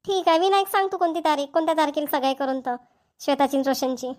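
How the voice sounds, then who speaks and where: A woman's voice: high voice, flat delivery, fast, darkish tone, natural voice. A woman voicing a chatty, persuasive middle-aged mother in a cartoon family comedy, with a Varhadi Marathi accent. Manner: coaxing, repetitive.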